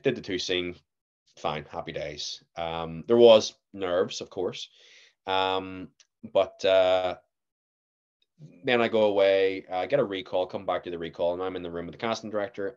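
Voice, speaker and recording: A young man with a Northern Irish accent, a guest actor telling stories in a casual podcast chat, animated and laughing often. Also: low-pitched, smooth, highs cut off. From Northern Ireland.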